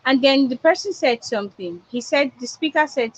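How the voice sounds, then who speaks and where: Woman, Nigerian accent, fairly high voice, emphatic coaching talk, dull lo-fi sound. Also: lively intonation, moderate pace. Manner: emphatic, repeats points for effect. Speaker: public speaking coach. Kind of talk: motivational online talk. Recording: dull lo-fi online audio.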